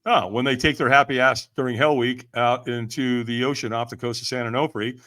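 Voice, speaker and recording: Older male podcast guest. Energetic, emphatic raconteur with casual slang, voices both sides of remembered exchanges. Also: mid-range voice, fairly quick. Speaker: podcast guest, firewalking event leader. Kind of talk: energetic storytelling in casual interview.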